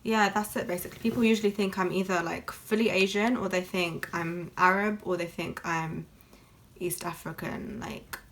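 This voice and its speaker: A woman's voice: fairly low pitch, fairly even intonation, moderate pace, a bit bright. A young woman, chatting candidly while doing her makeup for a beauty vlog. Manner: chatty and candid, lots of fillers. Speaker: beauty vlogger. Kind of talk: casual get-ready-with-me chat.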